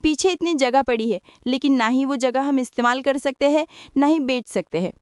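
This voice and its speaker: Casting: long-suffering elder daughter-in-law woman actor, high smooth voice, resigned family drama delivery. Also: normal speed, a little mellow, no room sound, studio quality, lightly acted. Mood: wistful, aggrieved. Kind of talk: Hindi audio story acting.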